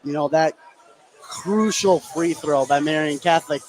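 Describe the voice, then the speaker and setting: A man's voice: on the high side, a little slow, rough recording. A man giving casual, hyped color commentary on a live basketball game in informal language. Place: indoor school field house.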